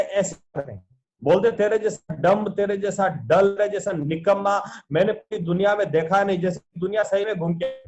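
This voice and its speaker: A male pastor preaching a sermon over a video call, earnest, often asking rhetorical questions. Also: sits high.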